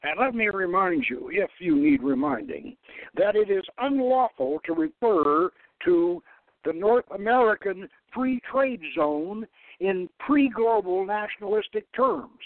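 A man's voice: high-pitched, expressive, a little slow, gravelly, no room sound, pitched above the performer's own voice, full character voice. A man voicing a sardonic, condescending bureaucrat of a dystopian future in a dramatic reading, lecturing in an officious manner. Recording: narrowband radio-like sound, dry.

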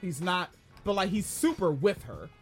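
High-voiced man joking on a live stream. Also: sing-song, normal speed, fairly bright tone, breathy edge, faint music behind. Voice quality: high-pitched voice. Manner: swearing, joking, rambling. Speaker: podcast co-host. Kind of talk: casual live-stream chat.

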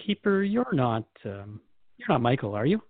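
A man's voice: medium pitch, big pitch swings, moderate pace, no room sound, phone-quality sound. A man playing a curious, deferential interviewer, speaking in a polite, slightly hesitant conversational way in a scripted podcast. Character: Jeff, curious, deferential male human interviewer.

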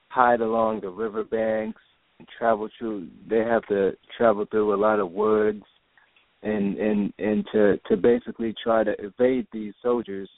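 Gravelly man on a phone line. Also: lowish voice, no echo. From Jamaica. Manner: halting, many restarts and repeated words. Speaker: guest. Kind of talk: casual interview talk.

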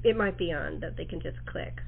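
A woman's voice: low-pitched, a little fast, vocal fry, slightly rough. Woman, talk show host. Casual, chatty, rambling interview style; tinny, band-limited sound.

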